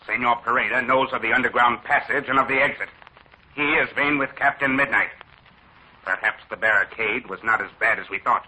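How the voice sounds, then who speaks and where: A man's voice: medium pitch, pushed and bright, husky, rough recording. An actor playing a cold, formal, scheming male villain leader in a 1940s radio drama. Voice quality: measured, formal, menacing.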